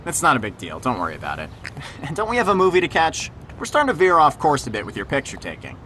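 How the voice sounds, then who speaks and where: Bright, animated easygoing teenage boy acted by a man. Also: medium pitch, fast talker, a little hiss or hum. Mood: casual, reassuring. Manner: casual, hesitant, reassuring. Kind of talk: naturalistic audio drama acting.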